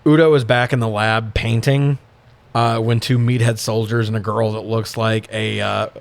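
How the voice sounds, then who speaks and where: A man's voice: on the low side, level tone, normal speed, creaky. Laughing, profane man recapping a film. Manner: profane, irreverent, often laughing through sentences. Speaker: podcast co-host recapping films. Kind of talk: casual comic film recap chat.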